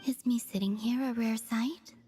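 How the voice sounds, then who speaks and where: A woman voicing a gentle, wistful otherworldly young moon maiden for a video game, speaking softly and breathily in measured, understated phrases. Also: on the high side, fairly even intonation, big-room sound, faint music behind, lightly acted. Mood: quiet, wistful.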